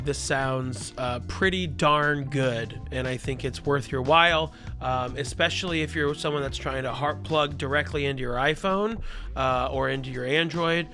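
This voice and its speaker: A loud, chatty man giving a casual product tutorial, speaking into a lavalier mic clipped under his shirt. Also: fairly high pitch. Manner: loud, chatty, self-deprecating asides. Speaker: gear reviewer.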